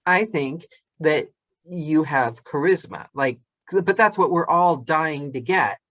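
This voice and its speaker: A woman hosts a podcast from a remote call, her voice slightly muffled and lo-fi. She speaks in a middle pitch, thoughtful and encouraging. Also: takes it slowly. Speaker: podcast host. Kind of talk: casual podcast conversation. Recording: slightly dull lo-fi call audio.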